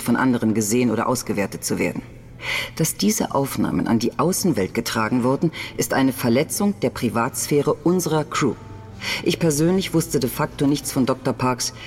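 A woman playing a composed company spokeswoman who gives measured, diplomatically defensive statements at a press conference in an audio drama. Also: extremely low pitch, a little mellow. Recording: long hall reverb, faint music.

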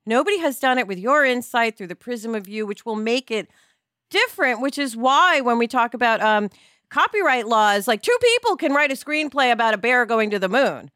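A woman with a bright, forceful, fairly high voice in casual podcast conversation. She sounds earnest and encouraging, like a co-host thinking out loud with friends. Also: big pitch swings, moderate pace, no echo, studio quality.

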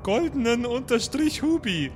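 A man's voice: very high voice, expressive, measured pace, soft background music. A man improvising casually in character as a player in a tabletop role-playing game.

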